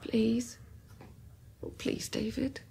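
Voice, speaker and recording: An actress playing an eager, playful young British wife in a naturalistic radio drama, coaxing and hopeful. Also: female voice, on the high side, level tone, extremely slow, vocal fry, dark tone, slightly rough, full character voice.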